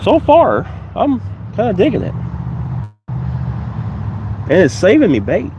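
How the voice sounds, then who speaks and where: A man's voice: high-pitched, slow pace, muffled recording, background noise. Stuffy-sounding congested man fishing. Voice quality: stuffy, nasal. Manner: relaxed, chatty, talks to viewers while working. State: congested from a cold. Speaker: fishing vlogger. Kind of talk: casual outdoor fishing vlog. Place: outdoors by the water.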